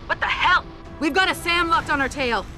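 Bright, brisk woman pilot. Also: very high voice, soft background music, full character voice. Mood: urgent. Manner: confident, teasing, clipped radio-call phrasing. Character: brisk, wry young female military-style pilot. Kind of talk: video game acting.

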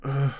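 A man's voice: medium pitch, vocal fry, a little mellow, a little hiss or hum. A man playing a protective, anxious boyfriend on the run, stammering and tender, in an intimate audio roleplay. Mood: panicked. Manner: stammering, urgent, tender reassurance. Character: panicked, protective boyfriend fleeing a gunman with his partner.

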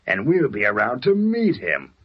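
An actor playing a threatening racketeer thug in old-time American radio style, talking in slang. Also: male voice, mid-range voice, wildly swooping pitch, normal speed, slightly rough. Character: menacing, gravelly racketeer thug, man. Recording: muffled vintage radio recording.

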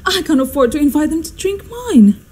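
A woman's voice: sits high, sing-song, brisk, a little mellow. A woman playing a snobbish, fussy, domineering society mother in a radio drama, with a theatrical, haughty manner. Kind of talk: stage-like radio drama acting.

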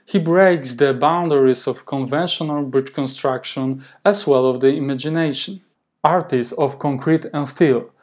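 A man's voice: mid-range voice, moderate pace, darkish tone. A man in his thirties with a Polish accent, hosting a history channel and reading a scripted narration carefully from a home office on a lapel mic. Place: home office with desk and plant.

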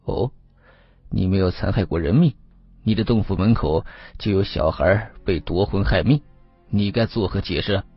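A man's voice: fairly low pitch, expressive, moderate pace, slightly rough, no echo, lo-fi sound, soft background music. A man playing a stern, sly Taoist who challenges and interrogates, in an audiobook. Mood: accusing. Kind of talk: audiobook character acting.

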